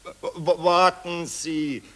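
An actor playing a vain, dithering Austrian general in a satirical radio play, with a hemming, stammering, pompous delivery. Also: male voice, a little high, steady tone, takes it slowly. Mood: grudging, dithering. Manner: pompous, drawling, falsely modest. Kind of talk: satirical radio drama acting.

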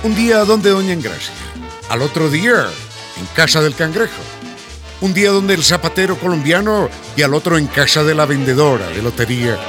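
Male narrator for a radio serial, fairly high voice, very expressive, salsa playing behind. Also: a little fast, over music. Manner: animated storytelling. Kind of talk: scripted radio drama narration.

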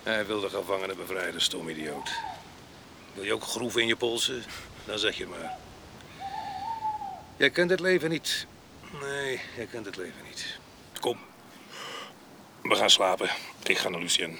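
An actor playing a rough, jealous ex-convict husband in a radio drama, coarse, domineering and mocking, with a gravelly voice. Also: male voice, lowish voice, lively intonation, unhurried, pauses often, crowd noise. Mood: scornful.

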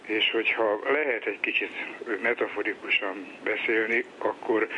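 A man, a social psychologist, explaining his ideas in a measured, reflective way in a radio interview. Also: on the low side, fairly even intonation, highs cut off, a little hiss or hum. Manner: measured and reflective, tells a joke.

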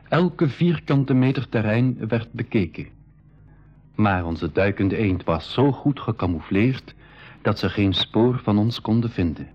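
The narrator of a radio adventure, low and husky. He recounts events with scripted suspense, on a slightly dull old recording.

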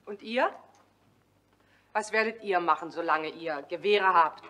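Actress as a plucky, echoey-voiced Parisian madame. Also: female voice, lowish voice, a little slow, noisy background, natural voice. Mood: challenging. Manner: forthright, questioning. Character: plucky, questioning Parisian working-class madame. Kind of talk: German radio play acting.

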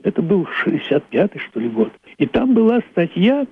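An elderly man tells a story from memory, explaining it deliberately and stressing key words. His voice is a little high for a man and slightly husky. The sound is thin and phone-like. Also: sing-song. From Leningrad, Russia. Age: older. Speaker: playwright. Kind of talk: unscripted storytelling, recollection. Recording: muffled, phone-like narrowband audio.

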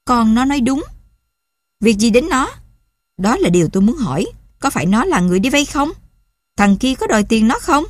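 A worried village mother pleads with her husband, her husky voice pitched up and urgent, as a woman reader puts her on. The recording is dry and close, with no reverb. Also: animated, normal speed, dark tone, lightly acted. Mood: agitated. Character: anxious middle-aged fisherman's wife and mother.

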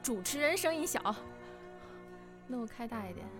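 A woman hosting a live interview stream in a chatty, openly excited and nervous manner. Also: fairly high pitch, moderate pace. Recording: music playing behind the voice. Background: music.

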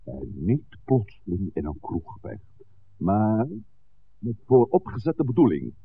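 Village priest: lowish voice, hugely varied intonation, shrewd, protective, oratorical; vintage radio drama on a tinny recording. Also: male voice, very animated, creaky, husky, exaggerated voice. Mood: measured, firm. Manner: measured, oratorical, deliberate. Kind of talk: 1960s Dutch radio play acting.

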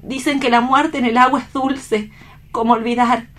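A woman's voice: fairly high pitch, steady tone, moderate pace, a bit bright. An actress playing a tender, despairing young woman in a radio drama, with solemn, poetic delivery. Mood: fatal despair. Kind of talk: melodramatic radio play acting.

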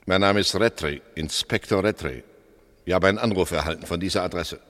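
An actor playing a firm, official police inspector, authoritative and clipped, in a 1960s German radio play. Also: male voice, low-pitched, fairly quick, a bit bright.

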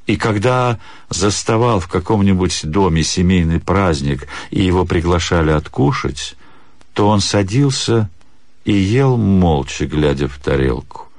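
A man reads a literary story as a radio narrator, in a measured, dramatic way with deliberate pauses. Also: deep voice, animated, darkish tone, no room sound. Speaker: actor reading a short story.

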